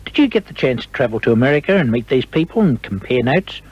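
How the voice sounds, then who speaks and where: Husky, animated radio interviewer, male. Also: mid-range voice, fast talker, no echo. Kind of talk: radio interview, presenting records. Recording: dull lo-fi radio recording.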